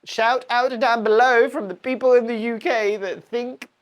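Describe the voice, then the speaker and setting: A woman's voice: on the high side, fairly even intonation, moderate pace, dull audio. A woman with a stuffy, bunged-up voice from a cold, chatting casually and rambling a little as she shows houseplants at home. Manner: chatty, rambling, self-correcting with laughs. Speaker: houseplant channel host. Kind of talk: casual chat to camera. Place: indoor plant room at home.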